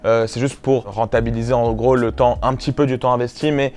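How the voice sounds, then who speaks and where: A man's voice: medium pitch, little pitch movement, fairly quick, a little mellow. A man who co-created a prank show, chatting casually and rambling a little as he remembers. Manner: rambling with fillers and restarts. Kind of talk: casual behind-the-scenes chat.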